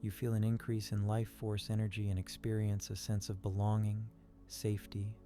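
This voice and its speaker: A man narrating a guided meditation in a calm, soothing, unhurried manner. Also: fairly low pitch. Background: background music.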